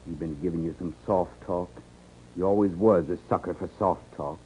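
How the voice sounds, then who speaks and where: An actor playing a gruff, suspicious horse thief on the run in a 1950s radio western, curt, with a rural American accent. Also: male voice, deep voice, moderate pace, warm tone, lightly acted. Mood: irritated. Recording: noisy, muffled 1950s radio recording.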